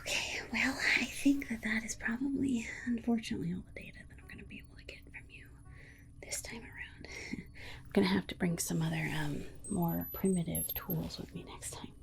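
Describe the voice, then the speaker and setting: A woman playing a polite, condescending alien scientist in an ASMR roleplay, whispering in a soothing, coaxing manner with breathy delivery. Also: mid-range voice, brisk. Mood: coaxing, reassuring, then gently condescending. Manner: soothing, coaxing, hesitant asides. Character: alien scientist, polite, reassuring, breezily condescending toward humans.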